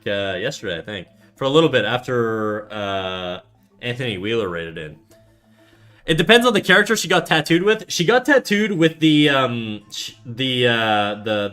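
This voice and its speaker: A young Canadian man with a bright, forward voice rambles through a laid-back streaming chat, tripping over his words and swearing freely. Soft music sits faintly behind him. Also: mid-range voice. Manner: rambling, swears often, many fillers and restarts. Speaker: art streamer. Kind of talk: casual livestream chat reading chat aloud.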